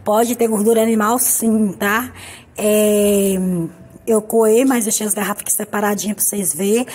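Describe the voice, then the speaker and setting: Hoarse chatty woman from Brazil. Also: mid-range voice, steady tone, normal speed, darkish tone. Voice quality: husky. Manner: chatty, affectionate, repeats "tá, gente?". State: hoarse from a cold. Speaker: soap-making channel host. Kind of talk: casual home tutorial. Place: home kitchen counter. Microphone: phone camera on the table.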